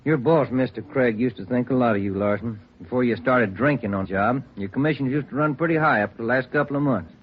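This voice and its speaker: An actor in a 1950s radio police procedural playing a plain-spoken detective partner who needles, matter-of-fact, folksy and persistent. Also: male voice, mid-range voice, fast talker, few pauses, lightly acted. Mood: matter-of-fact, needling. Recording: dull, noisy vintage radio recording.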